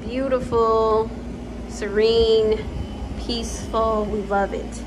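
Slow-talking young woman giving a tour. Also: a little high, background noise. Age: young adult. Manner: relaxed, chatty, with frequent ums. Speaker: home vlogger. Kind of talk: casual house tour vlog. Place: empty house, walking room to room. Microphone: phone camera held while walking.

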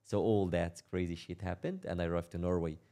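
A young man from Syria telling his life story in a casual interview, speaking in a halting way, repeating words and laughing as he talks. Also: deep voice, fairly even intonation, warm tone. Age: young adult. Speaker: interview guest.

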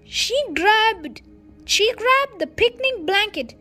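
A young child reads a storybook aloud in a very high, animated voice. The pace is slow, with words repeated as the reader finds the way through sentences. Faint music plays behind. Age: child, under 12. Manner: halting reading, repeating words and phrases.

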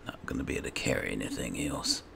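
A man with a gravelly voice giving casual, low-key commentary while playing a fantasy game, musing aloud as he goes. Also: fast, noisy background. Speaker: gaming host. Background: game ambience.

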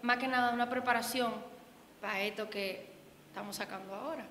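A woman's voice: a little high, fairly even intonation, fairly quick, a bit bright. A young woman singer from the Dominican Republic with a Dominican accent, chatting casually in an interview, full of fillers and restarts. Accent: Dominican Spanish. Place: indoor event room with reverb. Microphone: handheld mic held by interviewer. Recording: echoey room with faint noise.

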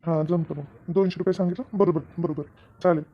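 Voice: medium pitch, fairly even intonation, quick pace, lo-fi sound, lightly acted. A performer voicing a practical, good-natured male farm owner who nags his workers in a comedy cartoon, with a Maharashtrian accent and colloquial delivery. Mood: nagging. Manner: colloquial, nagging, instructing. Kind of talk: Marathi comedy cartoon dialogue.

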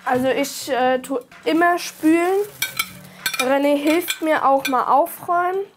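A woman's voice: sits high, measured pace. A young woman of about eighteen speaks. She chats colloquially and hesitantly for an interview, with faint music behind. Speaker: documentary participant. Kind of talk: casual interview talk.

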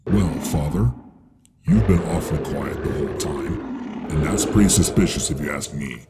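A man's voice: rumbling low, moderate pace, heavy background noise. A man voicing a sly, resentful grown monster son in a cartoon comic dub, with a menacing, accusatory manner. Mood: sly, accusing. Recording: loud music over the voice.

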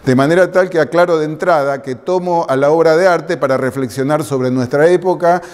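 Middle-aged porteño lecturer, slightly high voice, filler-heavy delivery, reverberant room, camera far off. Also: male voice, a little fast, smooth. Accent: Buenos Aires Spanish. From Argentina. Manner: discursive, many fillers like "este" and "eh". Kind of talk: conference lecture with slides. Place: lecture room with projector screen.

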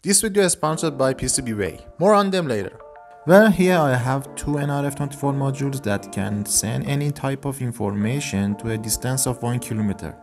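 A man's voice: mid-range voice, fairly quick, soft background music. A man in his twenties narrating an electronics tutorial as the presenter of his own videos. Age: 20s.

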